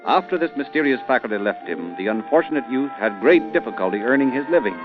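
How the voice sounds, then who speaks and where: A man's voice: medium pitch, expressive. Theatrical male old-time radio narrator. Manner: theatrical, suspenseful, deliberate. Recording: dull old radio recording. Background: music.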